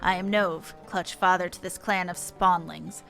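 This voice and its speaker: A woman voicing an old male fishman clan elder with calm, courteous, archaic speech. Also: on the low side, vocal fry, soft background music. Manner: measured, formal archaic speech.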